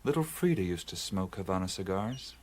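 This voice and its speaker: An actor playing a bewildered, earnest adventurer with an American accent in a radio drama, hesitant and stammering. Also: male voice, sits low, expressive, a little fast, a little mellow.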